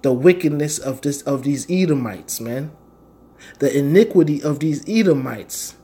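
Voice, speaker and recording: A man's voice: a little high, lively intonation, moderate pace, warm tone. An American man delivering a fervent, informal sermon in a confrontational, slangy manner, repeating phrases for stress. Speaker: street-style preacher and Bible teacher.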